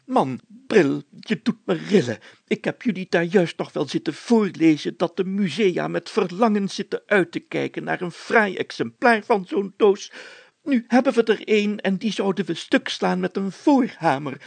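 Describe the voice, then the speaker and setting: A man voicing a pompous, fussy and excitable mayor in a story, exclaiming and stammering with indignant drawn-out words. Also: high-pitched, creaky, breathy edge, dry recording, exaggerated voice. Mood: pleading, firm.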